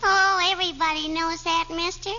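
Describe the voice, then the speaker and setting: A woman's voice: extremely high pitch, fairly even intonation, moderate pace. A woman playing an inquisitive, chattering little girl who repeats her questions, in old radio comedy style. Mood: cheeky, pleased. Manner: repeats questions, childish "hmm?" and "I bet you". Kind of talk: 1940s radio comedy acting. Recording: noisy, muffled vintage radio recording.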